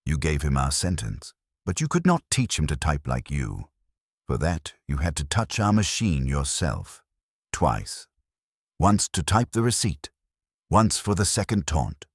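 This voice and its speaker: A man's voice: extremely low pitch, huge pitch swings, unhurried, breathy edge, no echo, clean studio sound, full character voice. A man voicing an imperious, exacting master detective in an audiobook, formal, pedantic and coldly accusing.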